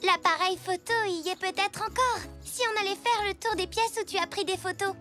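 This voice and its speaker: A young zebra child in a children's cartoon. The voice is very high and childlike, sensible and helpful. Faint music plays underneath. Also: a little fast, pushed and bright.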